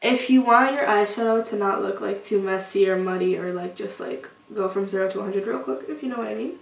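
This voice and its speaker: A woman's voice: medium pitch, fairly quick, gravelly. Sniffly young woman with a cold. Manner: chatty, loose, many "like" fillers. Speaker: beauty vlogger. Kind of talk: casual makeup tutorial. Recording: roomy echo, distant mic, faint hiss.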